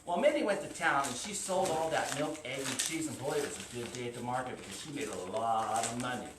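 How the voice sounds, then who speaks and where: A woman's voice: extremely low pitch, a little fast. A woman telling a children's story, asking the listeners questions and using repetition to build suspense. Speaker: storyteller at a library story time. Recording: roomy, distant mic with faint noise.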